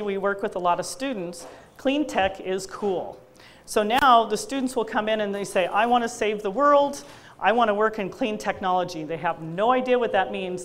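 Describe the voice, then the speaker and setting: A middle-aged woman, an engineering lecturer at a university, giving an energetic public talk with slides in a loud voice and many fillers. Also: mid-range voice.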